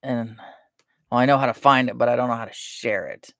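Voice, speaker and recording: A soft-spoken woman chatting casually and rambling between topics on a live stream. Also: rumbling low, a little fast, no echo, studio quality.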